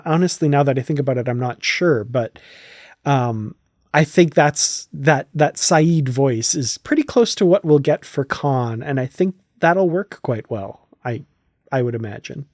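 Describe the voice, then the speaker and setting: Guy hosting a fan podcast, chatty, kinda thinks out loud, mid voice, really dry sound. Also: mid-range voice, dry recording. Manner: chatty, thinks aloud with hedges. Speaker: podcast host. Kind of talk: casual fan podcast discussion.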